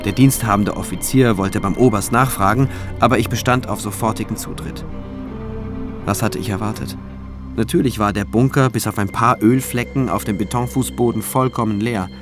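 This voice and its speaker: Actor as low-voiced, reflective prosecutor-narrator over music. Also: male voice, moderate pace, natural voice. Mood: reflective, suspicious. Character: resolute, introspective prosecutor recounting his investigation. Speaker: narrator of a radio drama. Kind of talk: scripted first-person narration.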